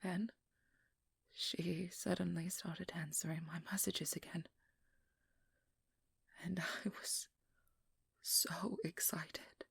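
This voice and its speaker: A woman playing a guarded, protective young vampire hunter in a hushed, breathy ASMR roleplay, speaking slowly with halting pauses close to the mic. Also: lowish voice, no room sound, exaggerated voice. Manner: slow, halting, intimate delivery with pauses. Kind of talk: whispered ASMR roleplay, dramatic monologue. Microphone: close microphone, dry.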